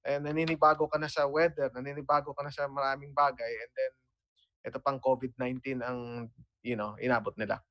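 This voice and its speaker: A man's voice: mid-range voice, flat delivery, quick pace, dry recording, lo-fi sound. Filipino male co-host, dry close sound. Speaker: radio co-host. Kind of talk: casual bilingual talk-show chat.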